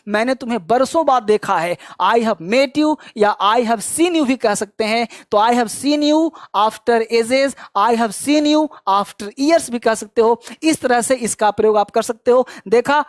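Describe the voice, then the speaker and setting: A man teaching an online spoken English lesson, quizzing his students in a brisk, teasing and scolding way. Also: extremely high pitch, no echo, studio quality.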